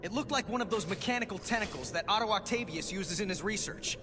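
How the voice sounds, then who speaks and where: A man's voice: high-pitched, expressive, fast, noisy background. An actor playing a wisecracking young male superhero in a video game, sarcastic and exasperated. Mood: wry, exasperated. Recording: long reverb, music behind.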